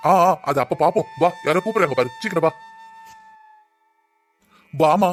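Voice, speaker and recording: A man's voice: on the high side, lively intonation, moderate pace, a bit bright, soft background music. A man voicing a mild middle-aged village father with a southern Tamil Nadu accent, placating and hurrying people along in a cartoon comedy.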